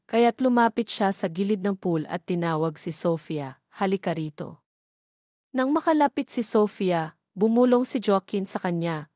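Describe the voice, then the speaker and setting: A woman narrating a romantic drama at a steady, even storytelling pace. Her voice sits at a middle pitch. It is recorded close and completely dry, with no room sound. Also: no room sound. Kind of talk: scripted audio drama narration.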